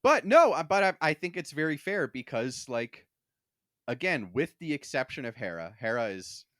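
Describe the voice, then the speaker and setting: A man's voice: mid-range voice, very animated, normal speed, fairly bright tone, no room sound, studio quality. A man talking casually as a podcast host, discussing things in a wordy, conversational way with lots of "like". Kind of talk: casual discussion of a sci-fi franchise.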